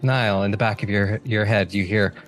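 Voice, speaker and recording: A man's voice: on the low side, steady tone, moderate pace, soft background music. A man giving improvised narration in a tabletop game.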